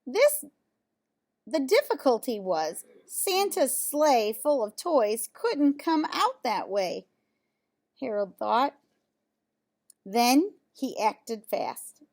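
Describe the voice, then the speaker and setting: A woman with a fairly high voice reads a children's story aloud at a very slow pace. Her pitch moves up and down a great deal. She breaks from the text for casual comments and questions to her young listeners. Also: big pitch swings, darkish tone. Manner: pauses to comment on pictures and ask listeners questions. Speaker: storyteller reading a children's picture book aloud.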